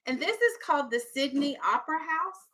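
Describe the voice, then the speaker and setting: High, bright-voiced woman teaching young children. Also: lively intonation, moderate pace, low-quality recording. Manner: instructive, simple explanations, checks understanding with questions, firm with children. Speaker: elementary school teacher. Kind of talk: classroom read-aloud lesson with questions to young children.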